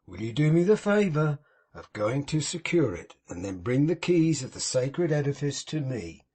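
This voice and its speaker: A man voicing a pompous, sanctimonious middle-aged gentleman in an audiobook, speaking in a grand, moralizing manner with a husky tone. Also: fairly high pitch, very animated, normal speed. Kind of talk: audiobook character reading.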